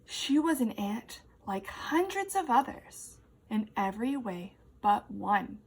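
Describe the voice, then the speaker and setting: A woman's voice: fairly high pitch, very animated, slow pace, slightly rough. A woman teacher reading a children's story aloud expressively and asking young listeners questions.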